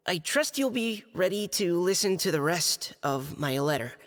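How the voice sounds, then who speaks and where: A man's voice: high voice, a bit bright. A man playing a shy, earnest, boyish young surveyor in a video game, stammering and formal, in a reverberant hall. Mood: earnest, careful. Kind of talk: video game character acting. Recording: reverberant hall, faint music.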